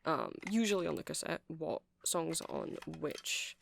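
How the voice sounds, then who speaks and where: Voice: mid-range voice, unhurried, gravelly, fairly bright tone, slight background noise. A performer voicing a chatty, nerdy male vampire shopkeeper in an ASMR roleplay, rambling hesitantly and apologetically as they explain things. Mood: rambling, explanatory. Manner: hesitant, rambling, self-correcting. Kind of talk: ASMR roleplay, casual rambling sales chat.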